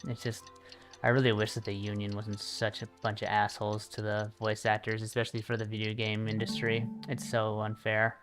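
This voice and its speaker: A man commentating casually on a game livestream in a low-key way, pausing and thinking aloud. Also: monotone. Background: game music behind the voice.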